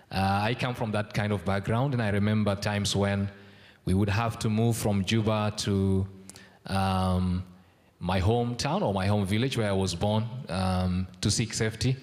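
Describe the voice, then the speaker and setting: A young man from South Sudan giving a formal conference speech, measured and full of ums and uhs. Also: lowish voice. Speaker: conference speaker. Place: large conference hall. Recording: long hall reverb.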